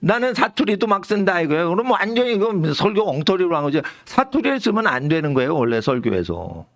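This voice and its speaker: A middle-aged man preaching a sermon in church, emphatic and animated, dropping English words into his talk. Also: quick pace. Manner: animated, emphatic preaching with lively pitch swings. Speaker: pastor. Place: church sanctuary.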